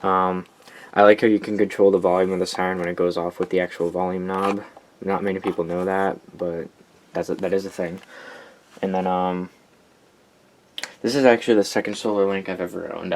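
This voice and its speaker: A man's voice: sits low, level tone, brisk. A young American man giving a casual, rambling show-and-tell as a hobbyist, with enthusiastic asides. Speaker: hobbyist collector presenting weather radios.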